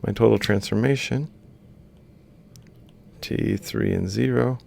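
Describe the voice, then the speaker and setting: A man's voice: on the low side, slow, gravelly, darkish tone, husky. A man giving an instructional lecture as he works on screen, explaining step by step and reciting values piece by piece. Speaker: university lecturer. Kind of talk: instructional screen-capture lecture, thinking aloud while writing.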